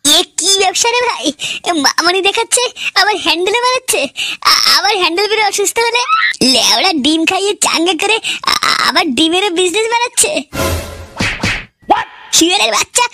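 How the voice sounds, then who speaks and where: A woman's voice: very high-pitched, animated, a little fast, pushed and bright, no room sound, full character voice. A woman playing a foul-mouthed, argumentative idle young man in an exaggerated comic cartoon. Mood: exasperated. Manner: crude, argumentative.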